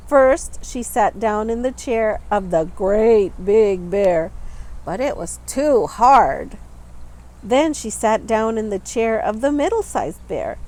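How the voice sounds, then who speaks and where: Female storytime reader, lively intonation and unhurried delivery, clearly stressing key words for small children. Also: on the high side, low-quality recording. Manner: expressive, deliberate, stressing and stretching words for young listeners. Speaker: storytime reader, teacher reading a picture book aloud. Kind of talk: children's storybook read-aloud with asides about the pictures.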